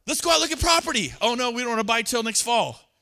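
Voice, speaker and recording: A man's voice: very high-pitched, expressive, fast talker, sharp tone. A man giving a motivational talk on stage, acting out both sides of conversations with others. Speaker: real estate speaker. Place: event stage.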